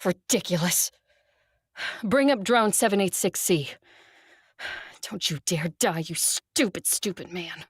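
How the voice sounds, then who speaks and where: A woman playing an ancient, imperious and guarded vampire ruler in an audio drama, speaking in a measured, wry way. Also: fairly low pitch, animated, husky, no echo. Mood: haughty, irritated, then urgent, exasperated. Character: imperious two-thousand-year-old female vampire ruler, wry, guarded. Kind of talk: audio drama acting, first-person narration and dialogue.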